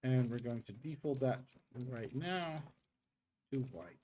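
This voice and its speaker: A middle-aged man with a tired throat, streaming live game development and talking through his code in halting, unhurried steps. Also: medium pitch, expressive, mellow. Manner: halting, thinks aloud with many pauses and um's. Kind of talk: live coding stream, thinking aloud.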